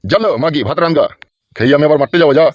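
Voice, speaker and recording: A man's voice: on the high side, rapid-fire, natural voice. A man voicing a crude, blunt old father-in-law who scolds and mocks in a comedy cartoon, with a rural West Bengal accent. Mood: cranky. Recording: dull, very noisy with clicks.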